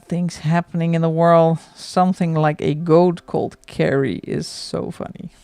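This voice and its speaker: A woman's voice: low voice, little pitch movement, measured pace, gravelly, warm tone, clean tone, dry recording. A middle-aged woman from the Netherlands with a Dutch accent, chatting casually and laughing often while she colours on a livestream. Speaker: livestream host.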